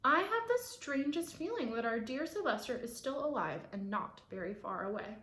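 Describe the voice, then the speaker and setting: Woman playing a high-voiced, yearning donkey mother. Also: big pitch swings, normal speed, a bit bright, echoey room. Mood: wistful hope. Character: grieving donkey mother, tender and wistful.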